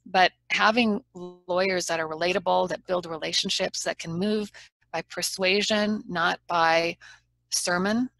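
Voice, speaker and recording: A woman's voice: lowish voice, steady tone, unhurried, creaky, a bit bright, low-quality recording. A woman trial lawyer as a guest in an interview, talking in an earnest, frank and persuasive way. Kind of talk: conversational interview.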